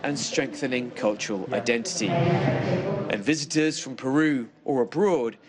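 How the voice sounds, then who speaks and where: A man narrating a television news report in a measured, formal way. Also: mid-range voice, lively intonation, husky.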